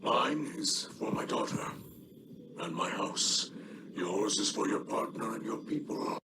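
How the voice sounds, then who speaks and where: A man voicing a solemn, honorable alien warrior and father, gravelly and breathy, speaking in grave, halting phrases, as video game dialogue. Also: lowish voice, lively intonation, very noisy. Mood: solemn, reflective.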